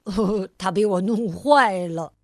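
A woman's voice: mid-range voice, takes it slowly, no echo, clean studio sound, pitched below the performer's own voice. A woman voicing a clumsy, cheerful grown man who stutters and repeats his words, in a children's storybook reading. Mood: bumbling. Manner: stammering, hesitant, repeating words.

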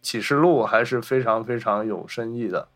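A man's voice: medium pitch, normal speed, fairly bright tone. A man co-hosting a podcast, explaining points about film in a casual, conversational discussion style. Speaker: podcast co-host.

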